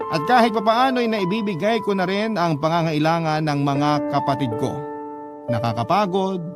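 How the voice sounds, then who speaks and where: A male radio host narrates a listener's letter in a dramatic, scripted style. His voice sits a little high for a man. Music plays clearly behind him. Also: lively intonation, normal speed.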